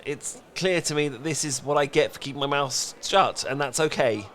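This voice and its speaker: Man playing a flustered, higher-voiced British best friend. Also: fairly bright tone, natural voice. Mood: tender, confessional. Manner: stammering, halting, pleading. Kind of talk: comedic romantic audio roleplay acting.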